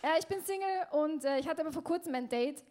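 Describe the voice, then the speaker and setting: A woman's voice: high voice, fast talker, darkish tone. A young woman doing self-mocking stand-up with hesitant fillers. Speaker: stand-up comedian. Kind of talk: stand-up comedy set. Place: comedy club stage.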